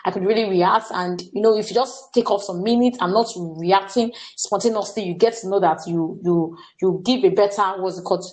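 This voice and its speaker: A woman's voice: medium pitch, fairly quick, few pauses, darkish tone, poor audio. A young Nigerian woman leading a staff training talk, earnest and often stopping to repeat words or say "what's it called.". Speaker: workshop facilitator.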